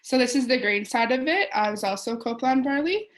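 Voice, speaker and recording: A woman's voice: high-pitched, lively intonation, fast. A young woman with a Western Canadian accent presenting figures informally as a student. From Alberta, Canada. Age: young adult.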